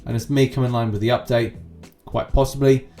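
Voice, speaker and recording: A man's voice: medium pitch, level tone, brisk, warm tone, faint music behind. A man giving casual, rambling gaming news commentary as a channel host, with chatty asides.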